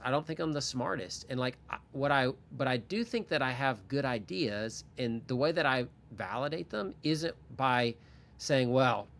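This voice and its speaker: A man's voice: medium pitch, a bit bright. A man in his forties, a podcast guest talking candidly and thinking aloud in a casual interview. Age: 40s.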